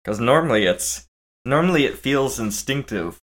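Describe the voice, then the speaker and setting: A man co-hosting a casual movie podcast, relaxed and playful, talking after very little sleep. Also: medium pitch, normal speed. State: low on sleep. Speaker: podcast co-host.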